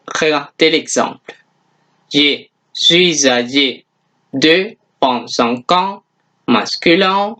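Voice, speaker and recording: A language teacher giving a scripted beginner lesson in a deliberate, instructional manner, repeating example phrases. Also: mid-range voice, a bit bright.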